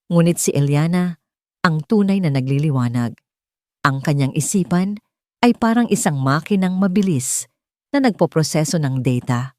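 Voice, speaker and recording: A woman narrates dramatic fiction in a low, mellow voice. The sound is close and dry, suited to an audiobook. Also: no room sound. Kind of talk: scripted dramatic story narration.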